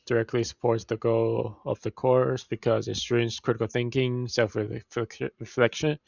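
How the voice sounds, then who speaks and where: A young male student with a Mandarin Chinese accent talks through his class assignment in a flat, gravelly voice, stopping and restarting often. The recording is dry and somewhat muffled. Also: medium pitch, no echo. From China. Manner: halting, frequent restarts and fillers. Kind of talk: reading a class presentation.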